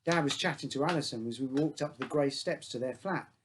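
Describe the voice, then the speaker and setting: A man's voice: medium pitch, brisk, background noise. A man reading his own short story aloud as a first-person narrator, in simple childlike phrasing.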